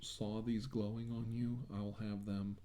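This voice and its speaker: A man with a low, very mellow and relaxed voice plays a doctor in an improvised ASMR role-play. He speaks softly and reassuringly, with a hesitant, halting delivery. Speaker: ASMR role-player acting as a government doctor.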